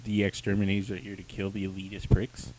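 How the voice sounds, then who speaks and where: A man's voice: sits low, completely flat delivery, fast talker, a little mellow, rough recording. A man hosting a casual review chat with friends, joking in a crude, slangy way. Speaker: host of comic review show.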